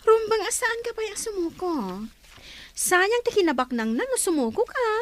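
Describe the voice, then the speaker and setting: A woman's voice: extremely high pitch, big pitch swings, moderate pace. A woman acting a scheming, money-minded friend with an Ilocano accent in a radio drama. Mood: scheming urging.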